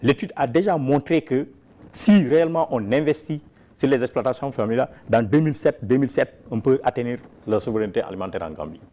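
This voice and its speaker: A man's voice: mid-range voice, lively intonation, fast, mellow. Man speaking with emphatic repetition. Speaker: farmers' organization leader. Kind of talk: interview answers.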